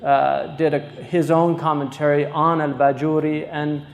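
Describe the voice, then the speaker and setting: A man hosting a lecture, introducing a guest scholar in unscripted, halting talk with many pauses and fillers. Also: fairly high pitch, little pitch movement, gravelly. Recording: echoey hall, distant mic.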